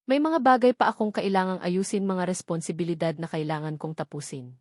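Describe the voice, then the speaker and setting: A woman's voice: fairly low pitch, brisk, no echo, studio quality, natural voice. A Filipino woman voicing a devoted, earnest man in love in a romance audio story. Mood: earnest, pleading. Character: devoted, earnest young male architect in love.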